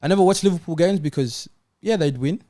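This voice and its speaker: A man's voice: fairly high pitch, brisk, dark tone. A man in a casual football debate as a panellist, argumentative and insistent, repeating his points.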